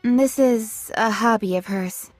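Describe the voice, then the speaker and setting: Composed, higher-voiced young soldier. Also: female voice, takes it slowly, darkish tone, no room sound, faint music behind. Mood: matter-of-fact. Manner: composed, matter-of-fact. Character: calm, level-headed young female soldier. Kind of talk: English video game voice acting.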